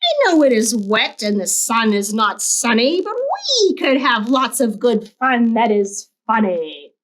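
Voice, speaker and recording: A woman's voice: fairly high pitch, big pitch swings, unhurried. A woman playing a bouncy meowing show-off cat. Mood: coaxing. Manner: bouncy, sing-song, meowing. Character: playful mischievous cat, male, showman.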